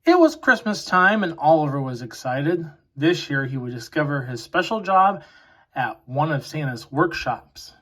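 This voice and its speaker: A man reading a children's picture book aloud in a deliberate storytelling way. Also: fairly high pitch, sing-song, a bit bright.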